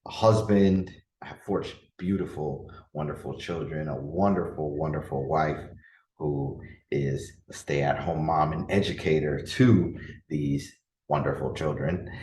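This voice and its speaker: A deep-voiced man in his thirties, originally from the Dominican Republic, talks through a casual solo podcast episode. He rambles earnestly, restarting sentences and leaning on fillers. The sound is roomy and echoey. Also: recorded from a distance. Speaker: podcast host and coach. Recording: echoey room.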